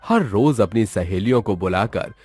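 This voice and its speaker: A man's voice: on the low side, expressive, normal speed, darkish tone. A man narrating a scripted cartoon story as the storyteller.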